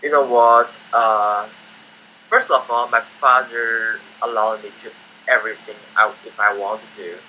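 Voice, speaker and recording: Teen boy, hesitant halting English. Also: mid-range voice, steady tone, pushed and bright, lo-fi sound, a little hiss or hum. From China. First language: Chinese. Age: teens. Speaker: student applicant. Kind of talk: interview answers.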